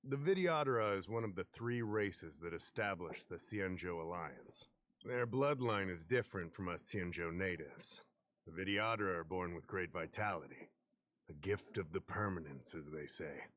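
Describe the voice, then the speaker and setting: A man playing a courteous, knowledgeable fantasy official in a video game, explaining things in a measured way. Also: deep voice, fairly bright tone, no room sound. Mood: patient, explanatory.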